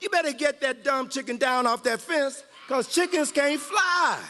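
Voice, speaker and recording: A man voicing a scornful, excitable chicken from a storybook, exclaiming shrilly. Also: extremely high pitch, normal speed, voice pushed up. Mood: mocking. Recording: echoey hall with long reverb.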